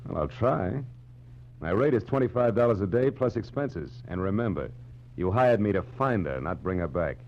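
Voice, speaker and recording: An actor playing a wry, weary hardboiled private detective in a deadpan 1940s radio noir style. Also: male voice, on the low side, normal speed. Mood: brisk, skeptical. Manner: deadpan, world-weary, sardonic. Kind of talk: 1940s radio noir acting and first-person narration. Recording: dull old radio recording with loud music.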